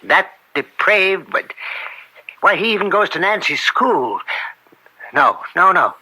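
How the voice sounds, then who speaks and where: An actor playing an older judge in an old-time radio drama, upright and weary, speaking deliberately with a gravelly, dryly sardonic edge. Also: male voice, high voice, very animated, bright, forceful tone, no echo, full character voice, rough recording. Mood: indignant, resolute. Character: upright, weary veteran judge and father, proud and stubborn.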